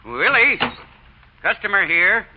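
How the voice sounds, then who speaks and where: An actor playing an affable, eager-to-please small-town sheriff with a hearty, folksy manner in old radio drama. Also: male voice, high-pitched, very animated, takes it slowly, full character voice. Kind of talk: 1940s radio acting. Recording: muffled, noisy old radio recording.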